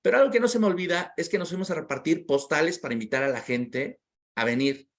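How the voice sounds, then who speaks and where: A man's voice: fairly high pitch, fast. A man as an interview guest, telling a personal story in a heartfelt, reflective way and often repeating words while he searches for them.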